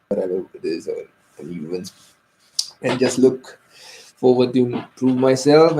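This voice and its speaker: A young Indian man speaks slowly and haltingly, stopping often for fillers and fresh starts. His voice is gravelly with a lot of fry. He talks off the cuff to the camera, like a personal video diary. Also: medium pitch, warm tone, poor audio. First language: Hindi. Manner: hesitant, many fillers and restarts. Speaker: vlogger. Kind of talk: unscripted personal vlog.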